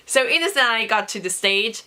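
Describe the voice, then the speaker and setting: A young woman vlogger with a hoarse voice from a recent cough, chatting through an excited story with many fillers. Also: fairly high pitch, normal speed, poor audio. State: hoarse, recovering from lost voice. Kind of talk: casual storytelling vlog.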